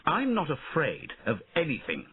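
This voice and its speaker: A man voicing a proud, reckless young king who shouts his orders in a high, strained voice, heard over tinny phone-like audio. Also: wildly swooping pitch, moderate pace, slightly rough, exaggerated voice. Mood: wistful.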